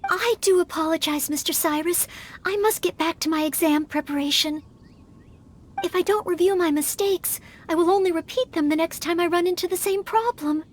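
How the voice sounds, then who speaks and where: A woman's voice: very high-pitched, moderate pace, a little hiss or hum. A woman voicing an earnest, anxious, polite young maid in a video game, studious and fretful in manner. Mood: apologetic, earnest. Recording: background music behind voice.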